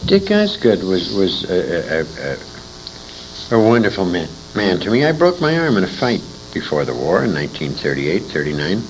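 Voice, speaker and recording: A man's voice: low-pitched, wildly swooping pitch, normal speed. An older man casually reminiscing as an interviewee, rambling and emphatic, with a salty, bawdy storytelling manner. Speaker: interviewee, old-time radio veteran. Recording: noisy amateur interview recording.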